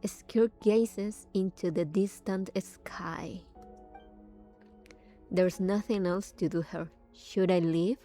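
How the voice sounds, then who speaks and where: A woman giving casual, murmured gaming commentary as a streamer, reacting in short, unhurried asides. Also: lowish voice, fairly even intonation, warm tone, faint music behind.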